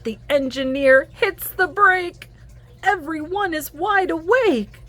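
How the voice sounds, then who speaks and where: A woman reading a children's picture book aloud in an expressive, singsong storytelling manner. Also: extremely high pitch. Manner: animated, singsong, deliberate storytelling. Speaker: children's book reader and host.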